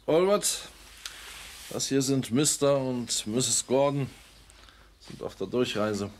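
An actor playing a polite, inquisitive and composed village gentleman in a radio drama, with an assured manner. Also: medium pitch, extremely slow, pauses often, slight background noise. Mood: genial. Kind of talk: German radio drama acting.

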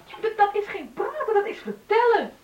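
A middle-aged actress playing an exasperated, sarcastic wife of twenty years in a radio play. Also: female voice, very high-pitched, sing-song, moderate pace, dull audio, over music. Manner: argumentative, sarcastic, pointed. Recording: noisy old radio play recording.

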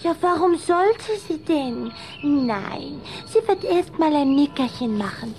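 High-voiced little vampire girl. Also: animated, slow pace, darkish tone, full character voice. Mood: confident reassurance. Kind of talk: German children's radio play acting.

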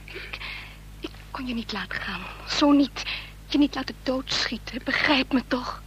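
An actress playing a devoted, anxious lover in a radio play, pleading in a breathy whisper. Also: female voice, high voice, measured pace, fairly bright tone, slight background noise, poor audio. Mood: anguished. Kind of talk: intimate radio play acting.

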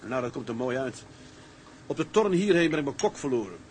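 A man's voice: mid-range voice, big pitch swings, a little fast, natural voice. Man as a wary sea captain, noisy background. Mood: courteous. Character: plain-spoken, wary but good-humoured seafaring captain. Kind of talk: dramatised dialogue.

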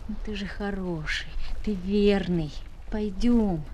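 Актриса в роли неторопливого, заботливого мальчишки-школьника. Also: female voice, mid-range voice, slow pace, dark tone, lightly acted. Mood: tender pleading. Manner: tender, coaxing, boyish. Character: kind schoolboy who looks after lonely dogs. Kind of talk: Soviet children's radio play. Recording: noisy 1970s flexi-disc recording.

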